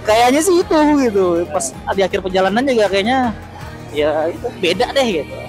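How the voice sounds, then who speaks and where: A man chatting casually as a motorcycle taxi driver, explaining things with halting self-repeats over a helmet intercom while riding. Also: sits high, sing-song, music in the background. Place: outdoors, riding a motorcycle through city streets. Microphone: helmet intercom headset.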